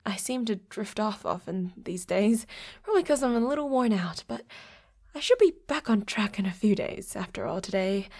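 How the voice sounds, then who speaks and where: A woman's voice: mid-range voice, animated, moderate pace, darkish tone. A woman voicing a flirty, playfully predatory vampire roommate in an intimate audio roleplay, with a coy, teasing manner. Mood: friendly, playful. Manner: coy, giggly, teasing, drops into breathy whispers.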